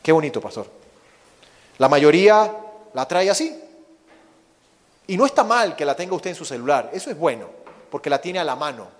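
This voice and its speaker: A Venezuelan man with a Venezuelan Spanish accent, a missionary preaching and praying fervently, slowing to stress his words. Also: on the high side, animated. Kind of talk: sermon with opening prayer. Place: church sanctuary. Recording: long reverb of a church hall.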